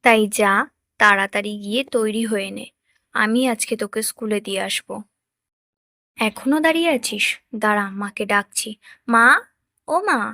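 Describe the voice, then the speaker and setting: A woman's voice: medium pitch, moderate pace, pauses often, pushed and bright, dry recording, clean studio sound. A woman voicing a bossy, teasing elder sister, a young girl who nags and scolds in a cartoon. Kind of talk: Bengali cartoon voice acting.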